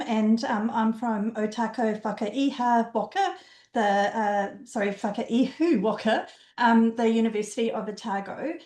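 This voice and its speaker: A woman's voice: a little high, a little mellow. A woman introducing herself as an academic integrity advisor, hesitant with many ums. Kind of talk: self-introduction on a panel. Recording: echoey room on a video call.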